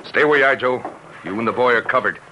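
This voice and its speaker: A man's voice: medium pitch, fast, muffled recording, lightly acted. A man playing a menacing, bossy outlaw gang leader in an old radio western. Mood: threatening, commanding. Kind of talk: 1950s radio western acting. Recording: noisy old radio recording.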